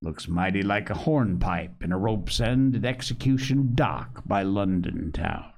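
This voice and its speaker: A man voicing a cunning, commanding older male pirate captain with rough sailor talk and scornful manner. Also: sits low, lively intonation, unhurried, darkish tone, slight background noise, full character voice. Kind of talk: audiobook character reading.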